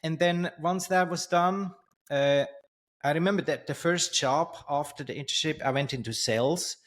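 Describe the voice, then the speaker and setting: A man, a podcast guest telling his life story in a halting way over a video call. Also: a little high, slight background noise. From Switzerland. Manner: halting, many restarts and fillers, laughs while telling stories. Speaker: guest, entrepreneur and coach. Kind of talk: storytelling in an interview. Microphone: studio mic on a stand, video call.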